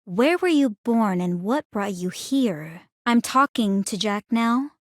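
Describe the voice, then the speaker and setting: An actress voicing a calm, clinically curious young woman in a video game, speaking in a formal, measured, coolly teasing way. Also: mid-range voice, no echo, clean studio sound. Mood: cool, inquisitive. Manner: composed, measured, formal, inquisitive. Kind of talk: scripted video game character voice lines.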